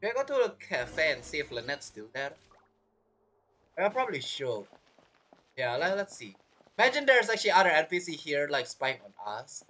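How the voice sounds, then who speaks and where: A man's voice: a little high, very animated, moderate pace, pauses often, pushed and bright, faint music behind. A man giving casual, rambling gameplay commentary as a streamer, reacting jokily. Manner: joking, rambling reactions to the game. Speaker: gaming streamer.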